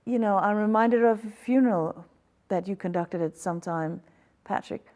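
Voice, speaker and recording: A woman's voice: medium pitch, normal speed, darkish tone. A woman hosting a panel discussion, speaking in a conversational way. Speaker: talk show host.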